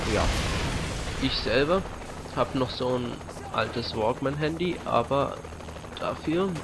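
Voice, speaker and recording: A young man giving casual, rambling and slightly halting commentary as he plays a video game on a headset. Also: mid-range voice. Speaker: let's play host. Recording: loud game gunfire and noise behind voice. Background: video game gunfire, vehicles, helicopter.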